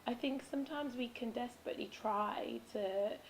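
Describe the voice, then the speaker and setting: A woman with a raspy, hoarse voice, chatting casually in a vlog about spiritual habits, with frequent ums and restarts. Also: on the high side, moderate pace, slight background noise. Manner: rambling, with many ums and self-corrections. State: hoarse, losing her voice. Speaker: vlogger.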